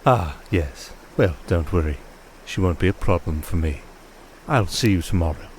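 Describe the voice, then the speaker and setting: A man playing a commanding, composed vampire archduke in a fantasy audio roleplay, speaking in a formal, measured, dryly teasing way. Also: deep voice, lively intonation, a little mellow, a little hiss or hum. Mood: calculating, composed.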